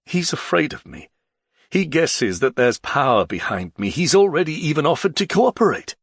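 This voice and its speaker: A weary nobleman in an audiobook read by a male narrator, bitter yet self-controlled. His voice is husky and creaky, tired and dryly ironic. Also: a little high, sing-song, moderate pace, no room sound, studio quality, voice pushed up, exaggerated voice. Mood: cool, resigned.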